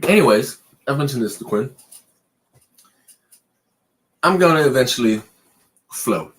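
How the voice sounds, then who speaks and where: A man with a mid-range voice that leaps high and drops low as he talks. He co-hosts a relaxed late-night chat and rambles loosely from thought to thought, his pitch swinging all over for emphasis. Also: a little slow, low-quality recording. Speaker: podcast co-host.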